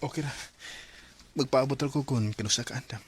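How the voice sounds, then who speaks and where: An actor playing an earnest, lovestruck young man in a radio drama, eager and soft-hearted. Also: mid-range voice, brisk, slightly rough. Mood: hopeful, eager. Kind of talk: radio drama acting and letter narration.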